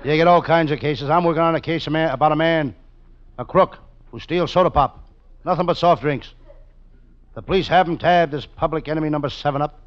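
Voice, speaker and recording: An actor playing a world-weary, wisecracking private detective in an old-time radio comedy, delivering puns and narration deadpan. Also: male voice, on the high side, fairly quick, close to an everyday voice. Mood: deadpan, wry. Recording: muffled, very noisy old radio recording.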